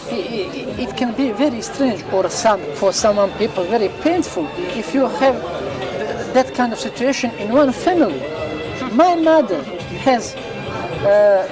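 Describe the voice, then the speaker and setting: A man with a Bosnian accent giving an earnest interview in non-native English, halting and repeating himself as he searches for words. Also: sits high, poor audio. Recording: loud music and crowd behind.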